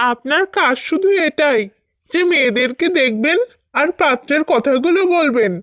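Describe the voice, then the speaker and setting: High-pitched busy village father voiced by a woman. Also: a bit bright, no echo. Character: busy, polite middle-aged village father. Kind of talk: Bengali cartoon fairy-tale acting. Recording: dull, lo-fi, dry sound.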